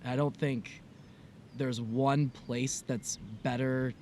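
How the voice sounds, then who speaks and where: A young man from the United States co-hosting a podcast, telling stories in a casual, animated way full of "like" and "I was like.". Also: mid-range voice, slow, slight background noise. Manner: animated storytelling, lots of "like" and "I was like". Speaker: podcast co-host. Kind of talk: casual conversation. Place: outdoors in the mountains.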